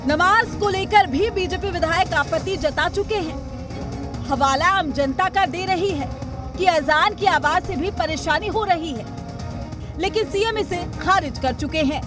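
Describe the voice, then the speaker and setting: A woman's voice: very high-pitched, measured pace, music in the background. A woman delivering a scripted television news report voice-over.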